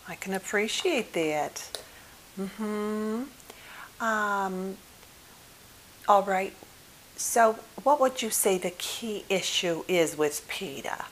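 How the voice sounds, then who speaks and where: A woman doing an ASMR role play as a polite, patient psychotherapist, speaking haltingly and gently. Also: mid-range voice. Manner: hesitant, halting, gently polite, with murmured acknowledgements. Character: polite, mildly flustered female psychotherapist, patient and attentive. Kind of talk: ASMR role play, improvised one-sided conversation. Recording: clear background hiss.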